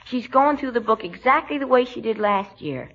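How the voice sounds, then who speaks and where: A woman's voice: a little high, expressive, normal speed, background noise. A woman playing a slangy, bashful but cagey schoolboy in a 1940s radio comedy. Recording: dull 1940s radio recording.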